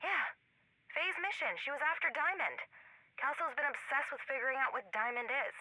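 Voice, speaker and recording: A woman's voice: high-pitched, lively intonation, a little fast, bright, forceful tone, dry recording, full character voice, poor audio. An actress playing a level-headed, teasing pregnant woman in a video game, with a wry, reassuring way of talking. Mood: calm, teasing. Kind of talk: naturalistic game dialogue.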